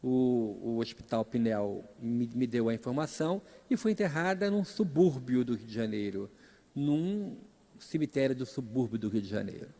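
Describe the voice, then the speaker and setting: Reverberant hall; middle-aged Brazilian male speaker, mid-pitched, dramatic storyteller with emphatic peaks and hushed drops. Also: lively intonation, moderate pace. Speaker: speaker at a Spiritist seminar. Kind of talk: storytelling public lecture.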